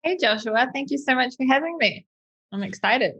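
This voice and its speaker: A woman in her thirties with a New Zealand accent, telling her story as a podcast guest in a lively, chatty way. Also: sits high, normal speed, dull audio. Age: 30s. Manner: lively and chatty, many fillers like "like" and "um". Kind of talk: casual interview storytelling.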